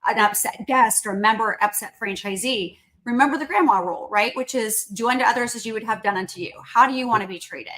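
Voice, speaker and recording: A woman guest speaking as a company chief in a conversational interview, earnest and explanatory, often checking in with a quick tag question. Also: on the high side, fairly bright tone, poor audio. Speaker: company CEO, podcast guest.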